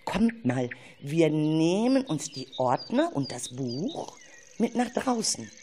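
A woman plays a caring, cautious forest guide for schoolchildren in a radio play. Her delivery is unhurried and very lively in pitch, with deliberate, expressive storytelling. There is faint noise with chirping nature sounds behind her. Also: full character voice. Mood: puzzled, serious. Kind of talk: children's radio play acting.

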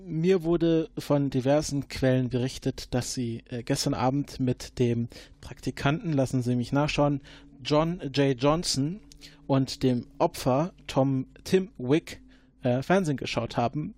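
A man playing a formal agent interrogating a witness in an improvised audio drama, halting and full of fillers. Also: mid-range voice, a little mellow, dry recording, close to an everyday voice. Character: formal male time-travel agent questioning a witness.

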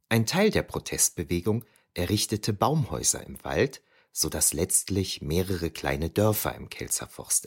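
A man's voice: low voice, breathy edge, full character voice. A man playing a reflective middle-aged historian, narrating his memoir in a scripted German audio drama.

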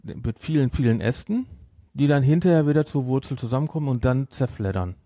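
A man's voice: medium pitch, expressive, brisk, warm tone, dry recording, clean studio sound. A man hosting a podcast, discussing a story casually and emphatically, often repeating and rephrasing his points. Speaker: podcast host. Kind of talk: casual discussion, reviewing an audio drama.

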